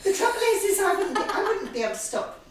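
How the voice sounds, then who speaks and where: Squeaky, very animated woman, echoey room. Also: fast talker. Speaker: podcast co-host. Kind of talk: giggly casual chat. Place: indoors around a kitchen table. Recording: very echoey room, distant mic, faint noise.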